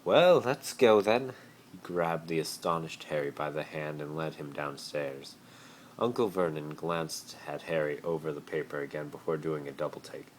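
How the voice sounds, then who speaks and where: A man's voice: rumbling low, flat delivery, normal speed, slight background noise, poor audio. A man giving an amateur dramatic reading of fan fiction, recorded on a phone. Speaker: amateur story reader.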